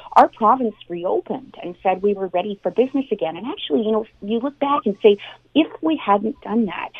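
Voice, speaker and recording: A woman's voice: mid-range voice, vocal fry, slightly rough, no room sound. A Canadian woman explaining things earnestly and conversationally, using everyday examples, as an expert guest in a radio interview. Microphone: phone call into a radio show. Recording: thin phone-like line.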